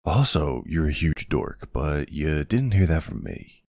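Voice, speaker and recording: Man acting as older ex-military boyfriend, very low husky voice, slow and unhurried, tender, teasing, protective, ASMR roleplay. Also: big pitch swings, no echo, clean studio sound, full character voice. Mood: fond, teasing. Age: middle-aged or older character. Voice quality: husky, gravelly. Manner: affectionate teasing, hesitant pauses, soft reassurance.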